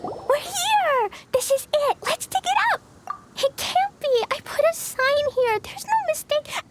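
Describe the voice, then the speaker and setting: A playful, impulsive little girl with a very high, sing-song voice that leaps about. She speaks slowly and sweetly. Game music plays underneath. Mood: excited. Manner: bubbly, childlike, excitable. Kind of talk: video game cutscene voice acting.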